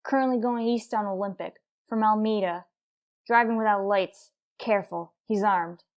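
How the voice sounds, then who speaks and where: A woman plays a hard-boiled female police detective from a radio crime serial. Her medium-pitched voice is terse and dry, with a tough edge to the delivery. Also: fairly even intonation, moderate pace, lightly acted. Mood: urgent, clipped. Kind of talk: radio crime drama acting.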